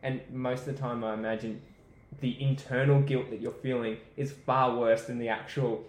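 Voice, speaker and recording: A man's voice: mid-range voice, little pitch movement, normal speed. An easygoing, upbeat man interviewing podcast hosts, often saying "you know". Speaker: guest interviewer. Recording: roomy echoey room.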